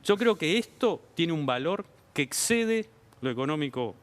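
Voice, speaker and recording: A man with an Argentine accent, a government official explaining policy on a TV talk show in a measured, explanatory way. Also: fairly high pitch, very animated. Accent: Argentine Spanish. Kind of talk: TV interview answers. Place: TV studio.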